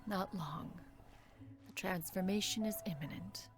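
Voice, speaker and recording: An actress playing a dignified immortal crane adeptus and mentor in a video game, speaking in formal, archaic phrases. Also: female voice, mid-range voice, unhurried, music in the background, natural voice. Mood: grave, measured. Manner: formal, archaic, refers to self as "one". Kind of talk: scripted game character dialogue.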